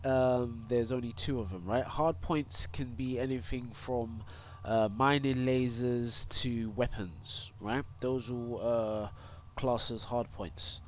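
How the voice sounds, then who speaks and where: A man's voice: medium pitch, fairly even intonation, slow, slight background noise. A young man with a cold, congested, casually walking through a game tutorial full of fillers and restarts. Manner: rambling, many fillers and restarts. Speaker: gaming YouTuber and streamer.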